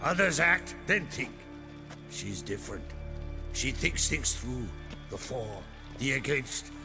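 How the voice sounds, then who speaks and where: An actor playing a proud, stern and fatherly middle-aged clan chieftain in a video game, with a gravelly voice. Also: mid-range voice, animated, slow pace. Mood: reflective, proud. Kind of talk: dramatic video game acting. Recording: music behind the voice.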